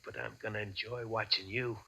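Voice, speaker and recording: An actor playing a bitter, fatalistic American ex-convict who taunts with deliberate menace, in 1940s radio crime style on an old recording. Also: male voice, on the low side, steady tone, vocal fry, sharp tone, slightly rough, exaggerated voice, low-quality recording. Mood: gloating menace.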